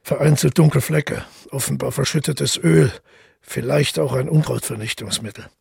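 Gravelly, detached killer. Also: male voice, mid-range voice, a little mellow, dry recording, clean studio sound, full character voice. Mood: cold, reflective. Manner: measured, reflective, detached narration. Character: detached male killer calmly recounting his deed. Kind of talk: literary radio drama monologue. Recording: music playing under the voice.

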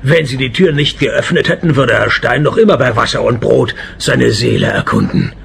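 An actor playing a jaded middle-aged private detective in a radio play, husky and deadpan. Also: male voice, mid-range voice, normal speed, slight background noise, heavily acted. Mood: mocking. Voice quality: husky, gravelly. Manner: deadpan, sardonic. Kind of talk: radio play, dry noir narration and dialogue.

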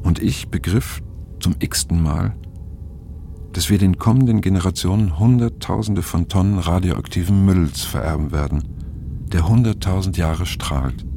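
An actor playing a brooding, disillusioned narrator in a radio drama, speaking reflectively and somberly with a gravelly voice. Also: male voice, sits low, unhurried, warm tone, slight background noise. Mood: troubled, resigned. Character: brooding, disillusioned male narrator recalling troubling times. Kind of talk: scripted first-person radio drama narration.

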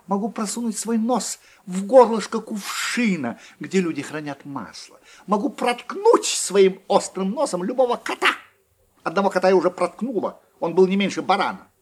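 Excitable storybook mouse girl, high and lively, voiced by a man. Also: moderate pace, husky, full character voice. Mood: boastful. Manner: bragging, sharp and excitable. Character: boastful female mouse with a sharp nose.

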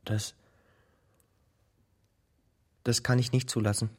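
An actor in a radio drama playing an earnest, troubled nineteen-year-old son who protests. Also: male voice, mid-range voice, level tone, fairly quick, a little mellow, natural voice. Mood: anxious protest. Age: young adult.

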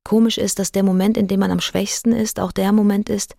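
An actress playing a fearful, burdened mother addicted to morphine, reading her diary in a measured, introspective radio drama monologue. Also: medium pitch, level tone, vocal fry, mellow, no room sound, clean studio sound. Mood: burdened, anxious.

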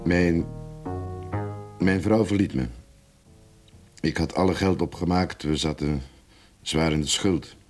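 An actor playing a rueful middle-aged recovering alcoholic confessing in a radio drama, husky, halting and frank. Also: male voice, sits low, fairly even intonation, darkish tone, faint music behind.

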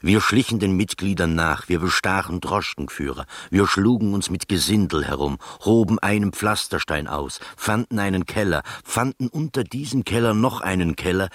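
Gentleman narrator, low voice, measured, literary, bemused, radio drama, close dry studio. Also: no room sound. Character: gentleman narrator recounting his strange adventures, bemused, literary.

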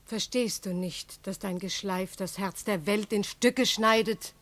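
A woman's voice: medium pitch, unhurried, natural voice. An actress playing a weary, wounded woman lamenting indifference solemnly in a poetic radio drama. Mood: weary reproach.

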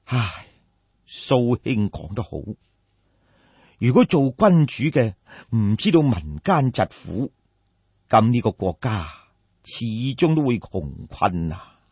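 A man's voice: medium pitch, very animated, slow pace, long pauses, husky, dry recording, full character voice. A man voicing a compassionate scholarly official in an audiobook story, courteous, earnest and concerned. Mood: regretful. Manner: earnest questioning, polite address. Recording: dull, muffled lo-fi audio.

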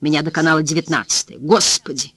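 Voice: mid-range voice, fairly even intonation, fast, fairly bright tone, dry recording, natural voice. A reader voicing a blunt, foul-mouthed woman cleaner who exclaims and rants in plain folksy speech. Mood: indignant.

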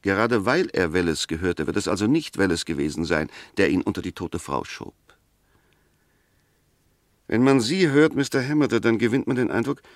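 Measured, low-voiced defence lawyer. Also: male voice, no echo. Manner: measured, argumentative, precise, pointed. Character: sceptical defence lawyer, methodical, firm, gently ironic. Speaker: defence counsel. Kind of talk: 1960s German radio play acting.

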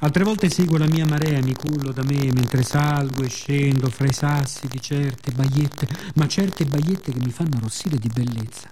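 A man's voice: medium pitch, noisy background, lightly acted. A man voicing the sea in a radio monologue as a playful, boastful being that muses and mocks humans, drawing out words. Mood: playful, musing. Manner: playful, musing, drawn-out words, sound imitations.

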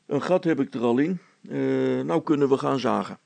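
Man playing storybook handyman dad, gravelly, husky, plain-spoken, hearty, cautious. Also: medium pitch, moderate pace, a little mellow, no echo. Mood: hearty, matter-of-fact. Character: practical, cautious middle-aged father and handyman.

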